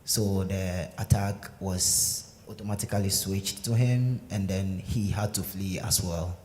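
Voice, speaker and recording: Soft-voiced young man, echoey sanctuary. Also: sits low, steady tone, a little slow. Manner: hesitant, many fillers. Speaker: guest speaker sharing his story. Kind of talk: personal testimony answering questions. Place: synagogue sanctuary. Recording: echoey sanctuary with faint noise.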